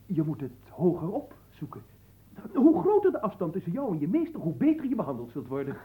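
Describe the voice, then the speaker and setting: A man's voice: high-pitched, wildly swooping pitch, fairly quick, narrow, tinny audio. A man acting in a radio drama as a humble, earnest young manservant, speaking formally and politely with some hesitance. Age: young adult.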